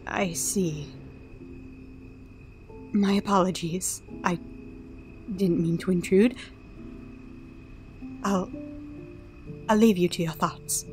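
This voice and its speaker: A woman playing a solemn, devoted pony princess in a radio drama, with a formal, measured, earnest delivery. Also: on the low side, steady tone, darkish tone, faint music behind. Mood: pleading, hurt. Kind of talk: dramatic radio play acting.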